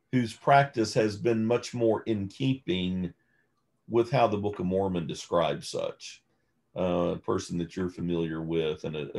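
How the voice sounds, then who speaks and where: A man speaking as a scholarly interview guest, choosing his words in a measured, deliberate way. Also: deep voice, darkish tone. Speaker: guest, theologian and author. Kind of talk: thoughtful interview conversation.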